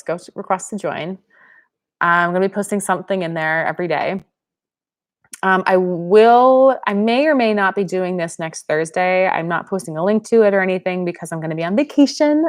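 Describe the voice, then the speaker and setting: A young woman from Pennsylvania hosting a live-stream Q&A, chatting casually and reading viewer comments aloud. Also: on the low side. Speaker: channel host.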